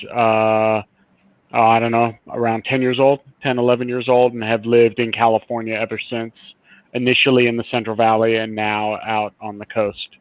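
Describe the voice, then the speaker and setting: A man's voice: medium pitch, no echo. A man from South Africa, a guest on a podcast, chatting in an easygoing, wry way over a remote call. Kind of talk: casual interview chat. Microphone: remote call line. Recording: dry, tinny phone-like line.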